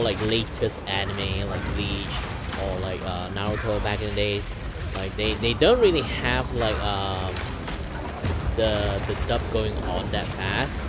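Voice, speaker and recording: A young Malaysian man with a Malaysian accent rambles through viewer questions in a lowish voice. His English is loose and packed with fillers. Loud music plays behind him the whole time. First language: Hakka. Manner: rambling, many fillers, broken English grammar. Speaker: VTuber streamer. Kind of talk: casual chat answering viewer questions. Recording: music playing loudly behind.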